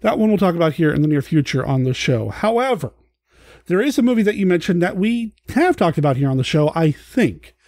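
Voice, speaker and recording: A man's voice: on the high side, sing-song, fairly quick, a little mellow, no echo, clean studio sound. A man hosting a podcast in casual, unscripted chat, rambling and thinking aloud as he corrects himself. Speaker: podcast host.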